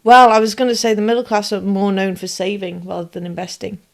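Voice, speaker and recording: A woman's voice: medium pitch, little pitch movement, fast talker, vocal fry. A woman, explaining in casual podcast chat, often checking that her listener follows. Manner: explains with lists and comparisons, asks if it makes sense. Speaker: podcast co-host.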